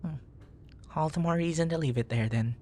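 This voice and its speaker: A man playing a charming, smitten young nerd in an ASMR boyfriend roleplay, murmuring softly in courtly, poetic phrases. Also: on the high side, fairly quick, a little hiss or hum, pitched above the performer's own voice, lightly acted. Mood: tender, teasing.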